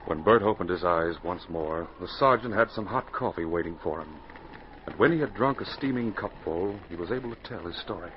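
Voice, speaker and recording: A man's voice: deep voice, animated, fairly quick. Muffled vintage radio narrator, a man. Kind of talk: dramatic scripted 1940s radio narration. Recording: muffled, noisy 1940s radio recording.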